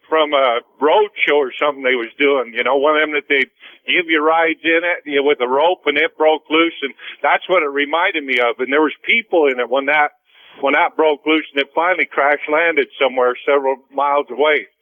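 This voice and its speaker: A man's voice: fairly high pitch, steady tone, brisk. Folksy American man on a tinny phone line. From Utah, United States. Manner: folksy, colloquial speech. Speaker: radio show caller. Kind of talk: casual storytelling on a phone call.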